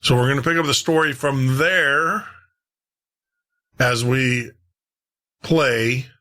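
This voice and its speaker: A man's voice: medium pitch, fairly bright tone. A man hosting a podcast, talking casually and conversationally as he introduces old-time radio shows, often repeating and rephrasing himself. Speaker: podcast host.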